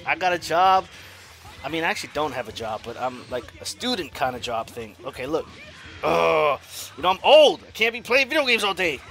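A man in his forties commenting on his own online fighting game matches, swinging from low mutters to loud yells, laughing and cursing at himself and his opponent. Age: 40s. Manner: profane, self-mocking trash talk. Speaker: gaming YouTuber. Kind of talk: live gameplay commentary.

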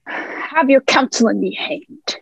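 Young woman acting a high, creaky pirate man. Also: lively intonation, takes it slowly, a bit bright, no room sound, heavily acted, poor audio. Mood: defiant. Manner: salty pirate dialect. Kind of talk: stage-style radio play acting.

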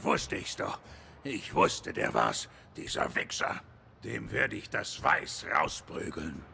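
A big gruff gorilla boxer from a video game. His voice is extremely gravelly and forceful, swinging up and down as he talks bluntly. There is faint noise behind him. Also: low voice, huge pitch swings, slow, heavily acted. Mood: angry. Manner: blunt, colloquial. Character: big gruff male gorilla boxer, hot-headed but loyal. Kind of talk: German game dub dialogue.

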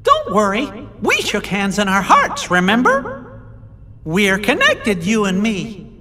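A bright, squeaky cartoon mouse king speaks slowly and earnestly, his pitch leaping about with every phrase. He sounds kind and encouraging, and a long reverb trails behind him in the game cutscene. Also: huge pitch swings, exaggerated voice. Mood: reassuring. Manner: high falsetto, encouraging and sincere. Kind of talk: video game cutscene dialogue.

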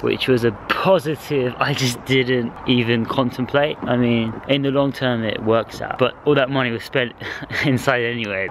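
A young man in his twenties vlogging casually, chatty and excitable, talking to a handheld camera held at arm's length on the street. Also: mid-range voice, vocal fry, slight background noise. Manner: excitable, chatty, laughs often. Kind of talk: casual vlog chat. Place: city street at night, then a club, then a residential street at dawn.

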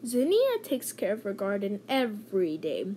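A woman reading a children's story aloud in an expressive, clearly enunciated storytelling manner. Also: mid-range voice, normal speed, a little hiss or hum. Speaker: host reading children's picture book aloud.

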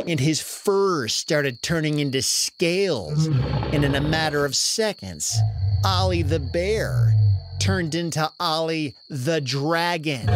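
A narrator telling a children's story in an animated style with dramatic, drawn-out emphasis. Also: mid-range voice.

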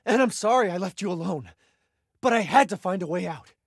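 A desperate, devoted father in a dramatic video game. His voice is raised high and swings widely in pitch, with a rough, gravelly edge and raw emotion. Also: expressive, fairly quick, heavily acted. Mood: pleading. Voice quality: raspy, gravelly. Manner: intense, emotional. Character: desperate, protective father guarding his grown daughter.

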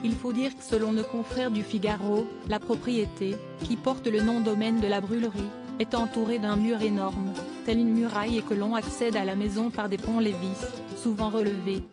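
The monotone, synthetic-sounding woman you would hear reading out gossip articles on an automated slideshow video, music playing along behind her. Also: medium pitch, normal speed, few pauses. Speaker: narrator of a celebrity news video. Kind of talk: scripted narration. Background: background music.

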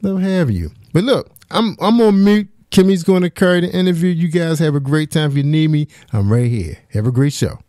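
A man's voice: fairly high pitch, a little fast, hardly any pauses, no room sound. A man hosting a live online talk show from a home studio, upbeat as he welcomes a guest. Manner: upbeat and lively. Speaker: show host. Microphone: studio mic with pop filter.

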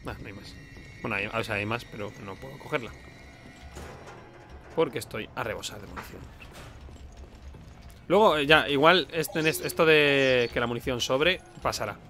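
A man's voice: mid-range voice, normal speed, pauses often, bright, forceful tone, soft background music. A man with an accent from Spain gives casual gameplay commentary, informal and sweary, often muttering to himself. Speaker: let's play host.

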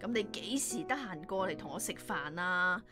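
An actress playing a caring, gently reproachful middle-aged mother in a Hong Kong Cantonese radio drama. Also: lowish voice, lively intonation, normal speed, over music, natural voice.

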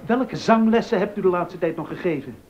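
An actor playing a haughty, sarcastic school rector in a docudrama, speaking in a formal, cutting way. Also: male voice, high voice, a little fast, darkish tone, lightly acted. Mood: scornful, stern. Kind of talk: Dutch docudrama acting. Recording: dull, lo-fi sound.

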